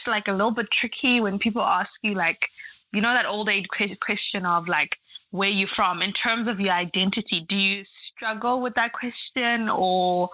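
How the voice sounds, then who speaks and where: Podcast host, woman in her 20s, bright tone, mid pitch, conversational. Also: no echo, rough recording. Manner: chatty, with many "like" fillers. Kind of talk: scripted intro, then casual interview chat.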